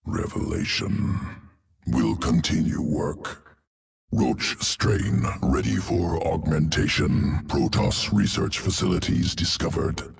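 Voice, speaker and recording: A man's voice: very deep voice, expressive, slow, far from the mic, heavily acted. An actor playing a cold, clinical male alien creature scientist who speaks in clipped fragments without small words. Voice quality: gravelly, gurgling alien voice.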